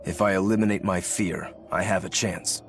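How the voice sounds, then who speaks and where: A man's voice: deep voice, fairly even intonation, normal speed, a little hiss or hum, close to an everyday voice. A man playing an earnest, easygoing, determined young swordsman in a video game, speaking in a straightforward way. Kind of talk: English video game dub acting.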